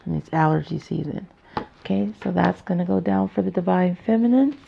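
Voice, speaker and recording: Tired, raspy, low-voiced woman. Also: warm tone, a little hiss or hum. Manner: halting, informal, chatty. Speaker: tarot reader, channel host. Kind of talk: casual card reading to camera. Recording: dull, muffled lo-fi sound.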